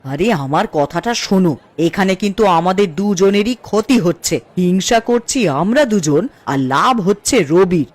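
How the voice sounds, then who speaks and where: A woman's voice: fairly low pitch, expressive, measured pace, hardly any pauses. A woman playing an assertive, sensible housewife in a Bengali cartoon, speaking firmly. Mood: firm, reasoning.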